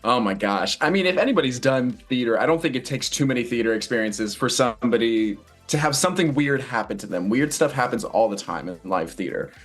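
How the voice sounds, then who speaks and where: A male stage actor talks casually as an interview guest. He is chatty and joking, rambling through what he says like a storyteller, at an ordinary male pitch. Faint music plays behind him. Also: animated, fairly quick, hardly any pauses. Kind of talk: casual promotional interview chat.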